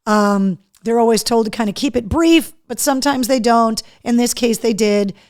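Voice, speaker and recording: A woman hosting a live show in casual chat, her voice hoarse, chatty and loose. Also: a little high, moderate pace, darkish tone. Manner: chatty, talks to her dog between remarks. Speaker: host.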